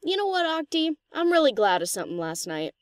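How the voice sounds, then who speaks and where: A woman's voice: sits high, sing-song, brisk, no room sound, clean studio sound. A woman voicing a cheeky, laid-back DJ pony in an audio story, with a casual, teasing, slangy delivery. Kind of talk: scripted audio story character acting.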